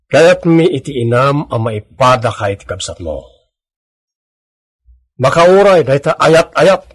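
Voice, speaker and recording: A man playing an earnest, troubled, strict middle-aged father in an emotional radio drama. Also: mid-range voice. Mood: scolding. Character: troubled, pleading strict father of a wayward daughter.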